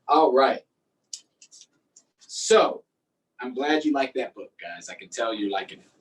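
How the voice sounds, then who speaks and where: A man's voice: medium pitch, recorded from a distance, rough recording. A man hosting a storytime, reading aloud and chatting simply and encouragingly with young children. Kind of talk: read-aloud and casual chat to young children.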